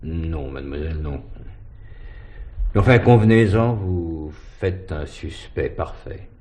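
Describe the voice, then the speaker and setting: An actor playing a stern police commissioner who questions formally and insistently, in a 1970s French radio drama. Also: male voice, a bass voice, expressive, slow, a little mellow, heavily acted. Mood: probing, dry.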